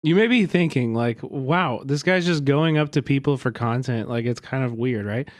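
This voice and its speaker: A man's voice: medium pitch, moderate pace, no room sound. Male streamer, rambling, casual swearing, filler-heavy, dead dry sound. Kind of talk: livestream reaction commentary.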